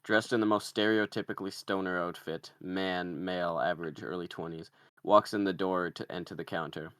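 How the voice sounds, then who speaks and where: Male screenplay narrator, very low register, dry sound, scripted comedy directions. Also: steady tone, a little fast, fairly bright tone, clear, resonant tone, dry recording.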